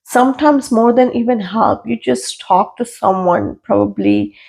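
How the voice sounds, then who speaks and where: A woman's voice: a little high, wildly swooping pitch, measured pace, gravelly, a little mellow. A woman in her thirties, from India and raised in London, speaking as a podcast guest in an earnest, emphatic way and repeating phrases for emphasis. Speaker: guest, registered nurse. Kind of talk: casual podcast interview.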